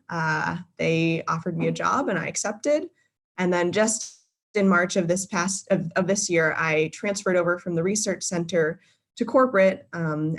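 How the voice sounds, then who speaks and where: Young woman with a low voice, chatty. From Boston, Massachusetts. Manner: friendly, many filler ums and uhs, self-correcting. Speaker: guest speaker. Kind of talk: casual presentation to a live virtual audience. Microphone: video call.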